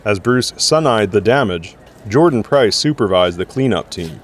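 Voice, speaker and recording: A man's voice: lowish voice, animated, normal speed. A man giving scripted story narration as the narrator of an audio drama.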